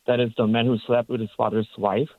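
A man's voice: mid-range voice, level tone, a little fast, dry recording. Korean-accented male caller on a phone line. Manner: careful, polite, reads verses aloud. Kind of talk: phone-in question.

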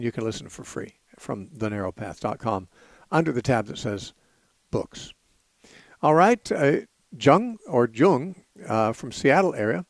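An earnest man teaches scripture on a call-in radio show in a mid-pitched voice. He talks steadily, stopping often to restart his sentences. The sound is dry and close, with no room around it. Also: vocal fry, dry recording.

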